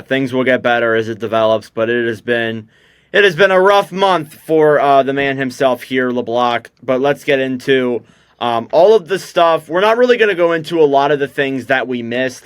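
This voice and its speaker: A man's voice: medium pitch, moderate pace, smooth, dry recording. A young man hosting a casual hockey podcast, chatty and loose with lots of fillers. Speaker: podcast host.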